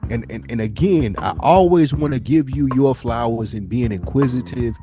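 A man's voice: mid-range voice, moderate pace, mellow, faint music behind. A man co-hosting a podcast in casual conversation, speaking emphatically with slang and often rephrasing his points. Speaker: podcast co-host.

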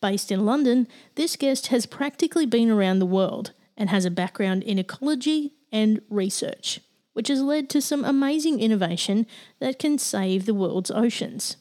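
Mellow-voiced Australian woman hosting a podcast. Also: fairly high pitch, lively intonation, moderate pace. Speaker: podcast host. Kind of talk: scripted podcast intro and interview questions.

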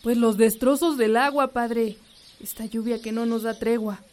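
A woman's voice: on the high side, flat delivery, normal speed, a little mellow, a little hiss or hum, close to an everyday voice. An actress playing an earnest, fearful village woman in a radio drama.

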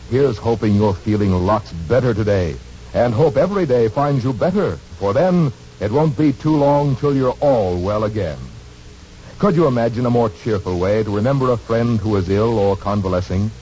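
A man announcing in polished, scripted 1940s radio style, pitching a sponsor's product. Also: animated, moderate pace, warm tone, slight background noise. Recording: muffled 1940s radio recording, faint music.

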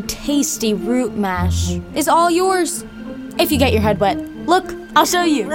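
An actress playing a plucky young girl on a raft in an audio drama, earnest, chatty and expressive. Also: high voice. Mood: playful coaxing. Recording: loud music under the voice.

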